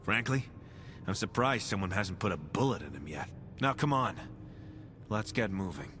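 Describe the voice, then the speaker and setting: An actor playing a blunt, embittered veteran soldier companion in a video game, speaking in a colloquial, sardonic way. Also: male voice, sits low, expressive, moderate pace, noisy background. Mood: bitter, frank.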